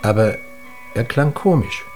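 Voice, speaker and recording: A man's voice: mid-range voice, animated, measured pace, darkish tone, soft background music, lightly acted. An actor playing a lenient middle-aged father in a naturalistic radio drama, brief and unforceful. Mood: questioning.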